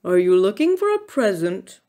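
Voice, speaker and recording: A woman voicing a gruff, stern, pompous male bear who speaks formally in a children's storybook. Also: medium pitch, big pitch swings, moderate pace, warm tone, natural voice. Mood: questioning. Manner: pompous, declamatory, formal wording. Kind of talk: children's storybook character reading.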